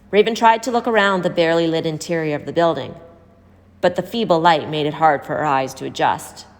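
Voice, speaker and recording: A woman reading a story aloud as scripted narration. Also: low voice. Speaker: story reader. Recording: hall-like reverb.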